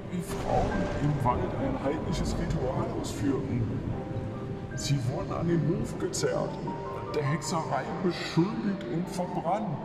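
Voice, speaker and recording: A man voicing the tormented ghost of a dead man who stammers and pleads in fear. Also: fairly high pitch. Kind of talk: amateur audio drama acting. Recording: echoey, distant, loud music.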